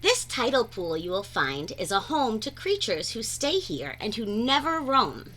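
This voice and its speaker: Lady reading a rhyming kids book out loud, super swoopy bright voice, sing-song, takes her time. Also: fairly high pitch, slight background noise. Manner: animated, deliberate, sing-song phrasing. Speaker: read-aloud storyteller. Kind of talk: expressive rhyming children's picture-book read-aloud.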